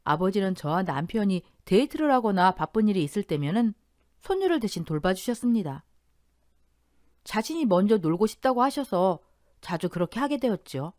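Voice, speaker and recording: A woman narrating a family story in radio-drama style, calm and heartfelt. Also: mid-range voice, normal speed, dry recording, clean studio sound.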